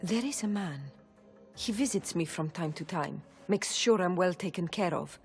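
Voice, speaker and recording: An actress playing a frightened oracle in a video game, urgent and pleading. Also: female voice, lowish voice, animated, moderate pace, darkish tone, soft background music. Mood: confiding. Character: Pythia, frightened woman oracle under threat.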